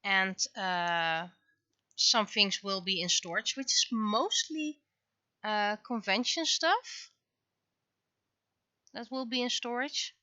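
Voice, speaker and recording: A woman chatting casually on a livestream as an artist at work, speaking haltingly as she thinks aloud. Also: mid-range voice, bright, forceful tone, clean tone, no room sound, lo-fi sound.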